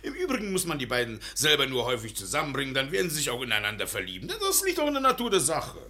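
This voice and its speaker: An actor playing a jovial, sly old landowner and scheming father with a creaky voice in a German radio play. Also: medium pitch, racing. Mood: sly, gleeful. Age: older. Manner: gleeful, animated.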